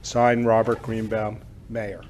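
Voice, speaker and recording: A man's voice: fairly low pitch, flat delivery, takes it slowly, noisy background. A man reading a formal proclamation aloud as a mayor at a public meeting. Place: indoor township council meeting.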